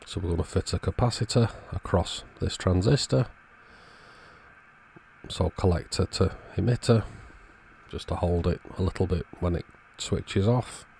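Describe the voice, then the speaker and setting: Deep, gravelly-voiced man narrating repairs. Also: unhurried, darkish tone, slight background noise. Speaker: host of an electronics repair channel. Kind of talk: casual repair walkthrough narration.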